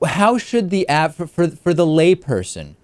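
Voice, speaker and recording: A man's voice: a little high, wildly swooping pitch, a little slow, no echo. A man with an American accent hosting an interview, setting up questions for a guest. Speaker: talk show host, interviewer.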